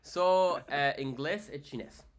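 A young American man, a native English speaker, chatting casually in halting beginner Portuguese as he searches for words. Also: on the high side. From United States. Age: young adult. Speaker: vlogger, beginner Portuguese learner. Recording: noisy ambience, action-camera and video-call sound.

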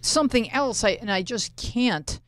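A woman's voice: fairly high pitch, expressive, moderate pace. A middle-aged woman hosting a casual panel chat. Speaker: podcast host. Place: small podcast studio. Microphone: close studio microphone on arm.

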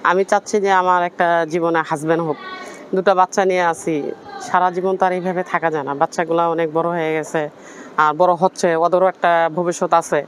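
A woman's voice: fairly low pitch, little pitch movement, quick pace, a little hiss or hum. A woman with a Bangladeshi accent making a personal appeal in a pleading, insistent manner. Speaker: interviewee telling own life story.

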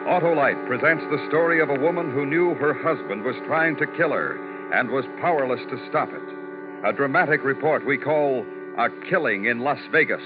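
A man's voice: medium pitch, normal speed. A man announcing a suspense program in dramatic 1950s radio style. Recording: muffled old broadcast, loud music.

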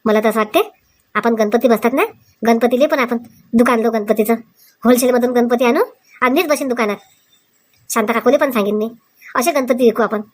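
A woman's voice: on the high side, brisk, long pauses, close to an everyday voice. A woman voicing an enterprising, cheerful young wife in a comic cartoon, chatting eagerly. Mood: pleased, eager.

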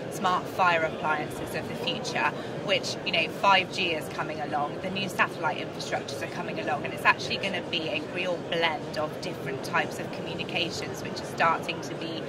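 A middle-aged British woman giving a promotional interview as a company director, explaining in a measured corporate way at a busy trade show. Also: deep voice. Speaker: sales and marketing director being interviewed. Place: large indoor trade show floor. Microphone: handheld interview microphone at chest level. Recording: noisy busy exhibition hall.

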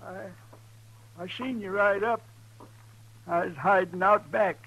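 An actor playing a frightened, simple-minded old ranch hand in a western radio drama, halting and timid. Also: male voice, sits high, steady tone, fairly bright tone, breathy edge, no echo, muffled recording, exaggerated voice. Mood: dazed, fearful. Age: older. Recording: noisy 1950s radio recording with hum.

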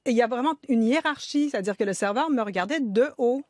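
A woman in her fifties with a Quebec French accent, a guest writer talking quickly and emphatically in a radio studio discussion. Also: fairly high pitch, expressive, darkish tone, no echo. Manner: quick, emphatic, agreeing often. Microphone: studio microphone.

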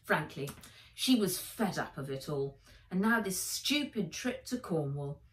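Expressive middle-aged woman reading, very animated. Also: low-pitched, unhurried. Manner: expressive, emphatic. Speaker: author reading her book aloud. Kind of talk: dramatic storybook narration for children. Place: sitting room by a window, indoors. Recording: roomy, slightly distant home recording.

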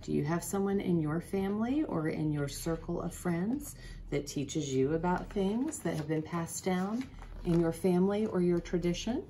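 Woman in her forties, low pitch, expressive picture-book read-aloud, deliberate delivery, noticeable background noise. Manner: deliberate, expressive storytelling with questions to listeners. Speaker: school principal, guest storybook reader. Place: small office, indoors.

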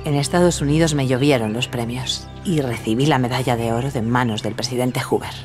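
A proud, adventurous woman pilot in an audio drama. Her voice sits low, restless yet affectionate. Soft music runs underneath. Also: brisk. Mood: proud, reflective. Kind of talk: audio drama acting and narration.

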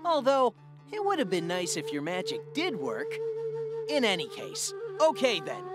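A man's voice: high-pitched, wildly swooping pitch, moderate pace, loud music behind the voice. A man voicing a cocky, upbeat young hedgehog hero in a video game cutscene, breezy and confident.

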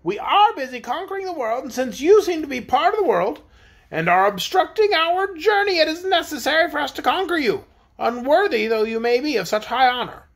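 Lady doing a snooty bossy queen for a kids storybook, way high n shrill, scornful, quick temper. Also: sing-song. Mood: haughty, pompous. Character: haughty, imperious, quick-tempered young queen leading an army. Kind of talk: storybook character voice.

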